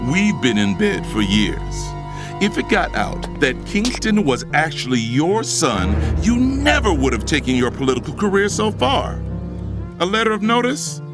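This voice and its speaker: A man playing a shrewd, defiant businessman in an audio drama, smooth-talking and confrontational. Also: fairly high pitch. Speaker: first-person narrator and character. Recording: music playing behind the voice.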